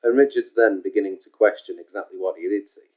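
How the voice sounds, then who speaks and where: A man's voice: fairly low pitch, fast. A man reading his own short story aloud in a casual, homespun way, leaning often on the word "just". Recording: tinny phone-like sound.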